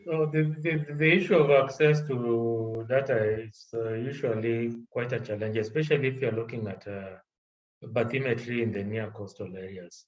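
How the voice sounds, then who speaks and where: A man chairing an online meeting, halting and full of fillers, heard over a dull lo-fi line. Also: mid-range voice. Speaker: meeting moderator.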